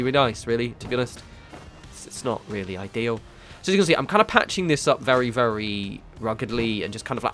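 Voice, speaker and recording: A man's voice: lowish voice, a bit bright. Stuffy-nosed man rambling about games. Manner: rambling, self-correcting, casually swearing. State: stuffy nose from a cold. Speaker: gaming video creator. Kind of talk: casual gameplay commentary.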